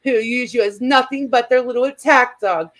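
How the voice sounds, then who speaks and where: A woman with a fairly high voice hosts an informal, gossipy chat. She talks casually and reads comments aloud with a giggly manner. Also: moderate pace, a bit bright. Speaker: host.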